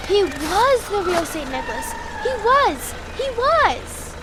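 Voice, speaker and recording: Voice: very high voice, big pitch swings, takes it slowly, background noise, full character voice. A young performer playing an eager, curious little boy in a children's audio drama, chatty and full of questions. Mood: excited. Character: excitable, curious, earnest little boy Luke.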